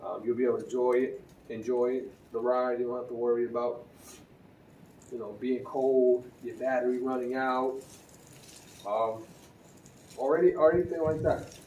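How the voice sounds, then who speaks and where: A man chats through a riding gear review in an unhurried, conversational way, stumbling and restarting now and then. His voice is mellow and relaxed. It sounds a bit distant and roomy, with faint ambience underneath. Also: medium pitch, dull audio, a little hiss or hum. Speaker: motorcycle vlogger.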